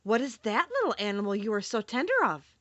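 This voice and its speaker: A great male lion who admits he is a coward, plaintive and full of sorrow, lifting his voice high whenever he frets. A woman voices him while reading the tale aloud, with a bright, dry, close sound. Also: sing-song, brisk, dry recording, muffled recording. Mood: mournful. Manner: plaintive, self-pitying storybook telling. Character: timid, sorrowful male lion, big as a horse. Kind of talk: audiobook character reading.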